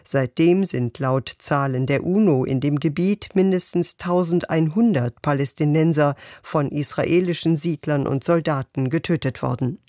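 A woman reading the radio news in a steady, measured way. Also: sits low, dry recording, clean studio sound. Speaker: newsreader.

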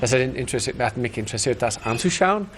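A man's voice: mid-range voice, animated, fast talker. Hesitant man, faint background noise. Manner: hesitant, restarts often, searching for words. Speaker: interviewed comedian. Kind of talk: casual interview answers.

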